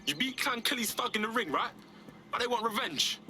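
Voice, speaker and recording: Brash, bright-voiced London rebel lad. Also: male voice, very high voice, animated, brisk, full character voice. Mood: brash, urgent. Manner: slangy, brash. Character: cocky, streetwise young male resistance recruit.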